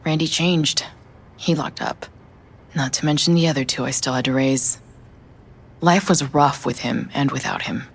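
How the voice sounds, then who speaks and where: Low, gravelly, worn-down woman. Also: moderate pace, long pauses, heavily acted. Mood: bitter, grieving. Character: worn-down, bitter widowed mother of three. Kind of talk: video game voice acting.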